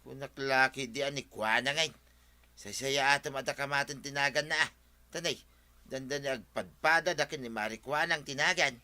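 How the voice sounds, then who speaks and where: A man with an Ilocano accent playing a sensible, mildly scolding village man in a radio comedy drama, forceful and chiding. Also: mid-range voice, normal speed.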